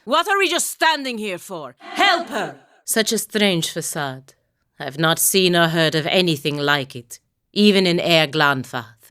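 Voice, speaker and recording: A proud, dutiful female warrior from a fantasy video game. Her voice sits low and stays measured, with formal phrasing and a wry, stern edge. The sound is close and dry, with very little room around it. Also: a bit bright, dry recording. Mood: alarmed. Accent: Valian, a lightly Italian-sounding fantasy accent. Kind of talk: scripted game dialogue, voice lines and barks.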